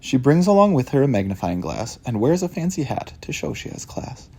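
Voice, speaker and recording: A man reads his rhyming picture book aloud with a gravelly, husky voice and a warm, dark tone. His delivery rises and falls dramatically, bringing each line to life. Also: medium pitch, a little fast. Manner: animated, expressive storytelling. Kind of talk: rhyming storybook read-aloud.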